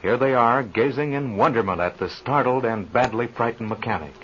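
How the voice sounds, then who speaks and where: A man's voice: medium pitch, brisk, fairly bright tone, lightly acted. A man narrating a 1930s radio serial as announcer, in formal, dramatic scripted delivery. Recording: muffled, noisy old radio recording.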